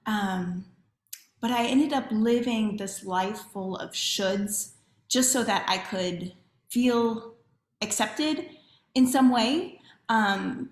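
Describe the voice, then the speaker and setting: Woman guest, 30s, from Chile; candid, reflective storyteller, voice in a roomy echo. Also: medium pitch. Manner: candid, reflective, many "um" and "right?". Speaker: podcast guest, community builder. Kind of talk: personal storytelling interview.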